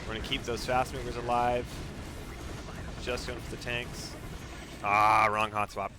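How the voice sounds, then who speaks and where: A man's voice: medium pitch, flat delivery, measured pace, over music. A man giving live speedrun commentary as he plays, narrating his own moves, repeating phrases and using mild exclamations instead of swear words. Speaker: video game streamer and speedrunner.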